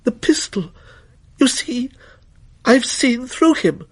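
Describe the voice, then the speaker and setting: A man's voice: extremely high pitch, very animated, slow pace, husky, pitched above the performer's own voice, exaggerated voice. A man voicing a frightened, insistent young girl with eerie certainty in a dramatic ghost story reading.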